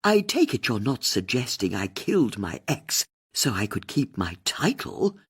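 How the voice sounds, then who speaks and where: A woman's voice: very deep voice, big pitch swings, measured pace, husky, heavily acted. Woman acting a bitter, haughty older lady. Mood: indignant. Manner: scornful, world-weary. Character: bitter, haughty, faded older aristocratic divorcee. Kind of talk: audiobook character dialogue.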